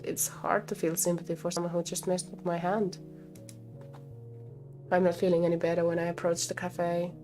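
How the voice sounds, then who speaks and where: A woman's voice: fairly low pitch, darkish tone. A woman game streamer reading a visual novel aloud expressively and breaking off to comment, with game music behind her.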